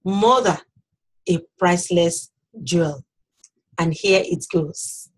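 A middle-aged woman with a Nigerian accent, a native Yoruba speaker, presenting a health program to camera from a small office in a slow, deliberate way. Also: on the low side, slightly rough, low-quality recording. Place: small office with bookshelves, indoors.

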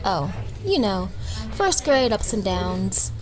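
A woman's voice: medium pitch, very animated, slow pace, music in the background, low-quality recording. A woman playing a frank, dryly spoken, no-nonsense office worker and single mother in a motion comic. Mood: frank, confiding.

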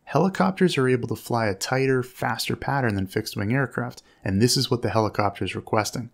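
Male instructor, mid pitch with creaky edge, measured explanatory narration. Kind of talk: explanatory commentary on air traffic audio.

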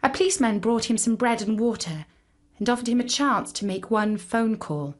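A woman narrating a children's story in an expressive storytelling style. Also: on the high side, moderate pace.

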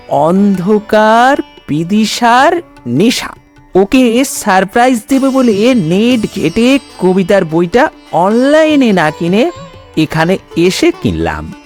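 A performer plays a carefree, cheeky young lad from a cartoon comedy. He drags his words out slowly in an exaggerated way, with pitch that swoops up and down. Faint music plays behind him. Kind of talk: animated cartoon comedy dialogue.

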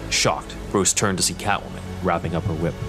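A male narrator delivers scripted dramatic storytelling. His voice is deep and sits over a prominent musical score. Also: fairly even intonation, fairly quick, music in the background.

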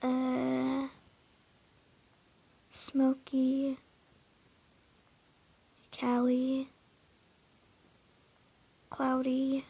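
Young woman with a creaky, husky voice. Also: high voice, completely flat delivery, extremely slow, long pauses, warm tone, no echo. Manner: hesitant, lots of fillers, drops into whispers. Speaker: gamer narrating her horse barn. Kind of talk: casual game commentary.

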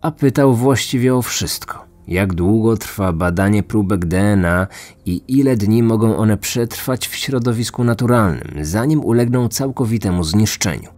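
A man delivers scripted true-crime narration as a podcast host. His voice is fairly low, with music playing underneath. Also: expressive, moderate pace, a little mellow.

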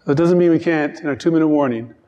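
A man's voice: fairly high pitch, warm tone, big-room sound, lo-fi sound. A middle-aged man with a Newfoundland accent, a minister giving an informal, joking talk from the pulpit of a church sanctuary. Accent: Newfoundland English. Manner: chatty, joking, quick asides. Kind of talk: informal children's-time sermon.

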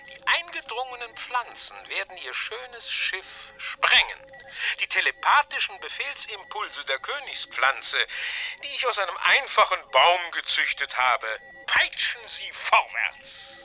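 An actor playing a megalomaniac villain in a sci-fi radio play, theatrical and mock-courteous, gloating in a drawn-out way. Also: male voice, sits high, very animated, sharp tone, faint music behind, full character voice. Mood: menacing. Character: gloating megalomaniac male villain bent on conquering the universe. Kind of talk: 1980s German sci-fi radio drama acting.

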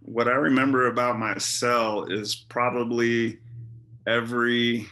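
Bright-toned man in his forties, slow and steady. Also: medium pitch. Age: 40s. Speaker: formerly incarcerated interviewee. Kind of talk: testimony on a video call. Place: small indoor room. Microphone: webcam on a video call.

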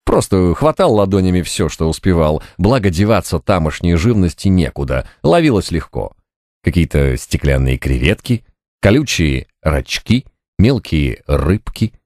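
A man narrating a fantasy novel as an audiobook, with expressive storytelling delivery, close to a studio microphone. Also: sits low, moderate pace, dry recording.

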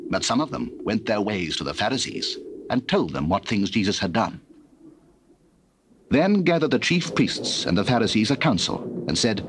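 A British man narrates a scripture drama in a measured, solemn manner. His voice is low and richly varied in pitch, with faint cassette hiss. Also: big pitch swings. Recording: hissy old cassette recording.

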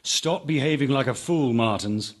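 Man playing a husky, stern police major. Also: medium pitch, normal speed, close to an everyday voice. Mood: scolding. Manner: authoritative, scolding. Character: stern, exasperated male military police major. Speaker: actor in radio drama. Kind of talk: radio drama acting.

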